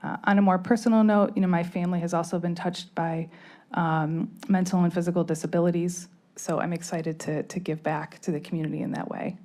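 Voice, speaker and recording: A woman with a dark, mellow tone and slightly low pitch speaks at a public meeting. She sounds earnest and a little hesitant, as a new appointee. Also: fairly even intonation, fairly quick. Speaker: board appointee. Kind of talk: semi-formal remarks at a public meeting.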